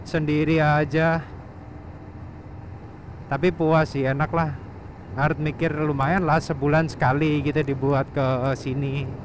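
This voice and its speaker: A man's voice: on the high side, level tone, slow, pauses often. A man chatting casually and informally as a motovlogger while he rides. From Surabaya, Indonesia. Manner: informal, slangy, refers to himself by name. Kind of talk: casual chat while riding a motorbike. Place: outdoors on a moving motorbike on a village road. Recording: engine, wind and road noise. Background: motorbike engine and passing traffic.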